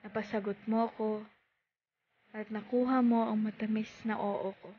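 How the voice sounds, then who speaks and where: A woman with a Filipino accent performing heartfelt spoken-word poetry in short, deliberate phrases with pauses. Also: medium pitch, level tone, breathy edge. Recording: tinny phone-like sound.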